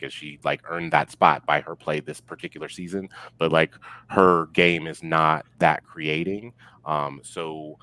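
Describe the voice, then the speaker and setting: Very deep-voiced man, halting sports talk. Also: fairly bright tone. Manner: halting, heavily hedged with filler words. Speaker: podcast guest, football pundit. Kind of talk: casual sports analysis.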